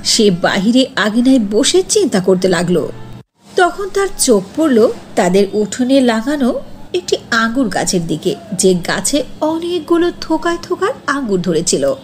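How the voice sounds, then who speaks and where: A woman's voice: fairly high pitch, sing-song, normal speed, darkish tone, slight background noise. A woman narrating a cartoon fairy tale as a scripted storyteller.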